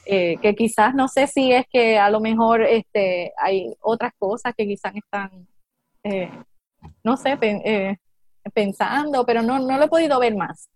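A Puerto Rican woman talks in a loose, hesitant way, trailing off and filling gaps with little sounds. Her voice sits a bit high and the recording is dry and close. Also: unhurried, no echo. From Puerto Rico. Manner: hesitant, many fillers. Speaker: guest. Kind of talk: casual chat.

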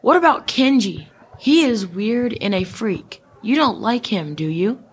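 Woman as a slow-talking sneering schoolboy bully. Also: on the high side, lively intonation, slightly rough. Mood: taunting. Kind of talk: amateur school radio theater.

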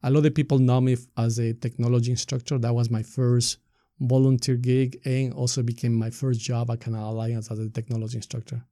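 Gravelly man with an Ecuadorian Spanish accent. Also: medium pitch, level tone, a little fast, mellow, no echo, studio quality. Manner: earnest, explanatory, uses rhetorical questions. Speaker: nonprofit staff member being interviewed. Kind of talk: reflective interview answers.

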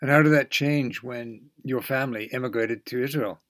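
A man's voice: medium pitch, moderate pace, fairly bright tone, no room sound, studio quality. A man hosting a podcast, reading introductions and putting questions to his guest in a presenter's style. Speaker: podcast host, editor. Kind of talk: scripted podcast introduction and interviewing.

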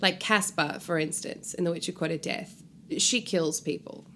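A woman's voice: fairly low pitch, moderate pace, a bit bright. A woman from New Zealand chatting casually as an interview guest, joking and using slang. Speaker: guest author. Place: hotel room. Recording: background noise in room.

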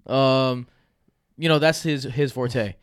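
A man's voice: mid-range voice, takes it slowly, smooth, dry recording, studio quality. A man, hosting a fantasy football podcast in loose, joking chat with his brother. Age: 30s. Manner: animated, laughs often, loose and joking.

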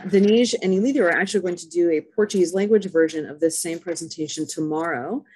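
A woman's voice: sits low, darkish tone. Woman, panel host, upbeat, organized, thanking attendees. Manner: upbeat, wrapping up with announcements. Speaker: host and moderator. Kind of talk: online panel moderation.

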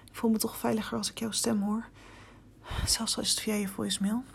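An actress playing an anxious, inquisitive young reporter in a hesitant, confiding voicemail monologue in an audio drama. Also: female voice, mid-range voice, little pitch movement, a little fast, creaky, slight background noise, natural voice. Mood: uneasy, confiding.

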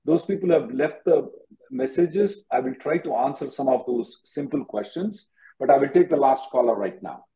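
A man's voice: mid-range voice, lively intonation, moderate pace, dark tone, low-quality recording. A man hosting an advisory call-in as an attorney, directive and given to repeating himself. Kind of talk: advisory call-in question and answer.

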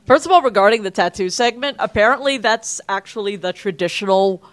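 A woman's voice: medium pitch, lively intonation, fairly quick. A woman in a live audience putting a casual, somewhat tentative question to the hosts. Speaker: audience member asking a question. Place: room at a live show.

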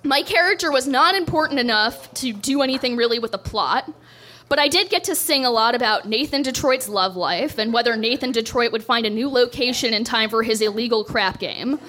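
A woman's voice: fairly high pitch, fairly quick, fairly bright tone, slight background noise. A young woman doing a stand-up set, telling a story in a dry, self-deprecating way on a club stage. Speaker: stand-up comedian.

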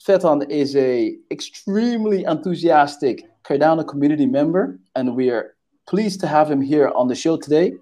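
A man hosting a podcast, introducing things informally in a careful, halting way. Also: a little high, lively intonation, warm tone. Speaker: podcast host.

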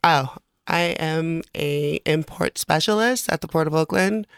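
Woman podcast guest from American Samoa, creaky, recorded dry, informal and playful chat. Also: low voice, a little slow, no room sound. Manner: giggly, joking, slangy. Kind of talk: casual chat.

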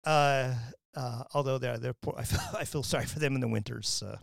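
A man from Wyoming hosting an academic podcast, talking in a loose, rambling way with many restarts and quick laughs. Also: mid-range voice, creaky, no room sound, clean studio sound. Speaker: podcast host. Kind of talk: casual academic interview.

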